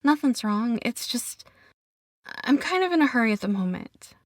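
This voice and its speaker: A woman's voice: fairly high pitch, lively intonation, moderate pace, dry recording, studio quality, voice pushed up, natural voice. A woman voicing an anxious young woman who is polite, flustered and hesitant, in an audio drama. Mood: flustered, evasive. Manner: stammering, hesitant.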